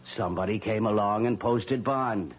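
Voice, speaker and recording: An actor playing a weary, wisecracking police desk sergeant in old-time radio drama, with folksy, sardonic banter. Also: male voice, on the low side, moderate pace, slightly rough, dull audio. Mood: teasing.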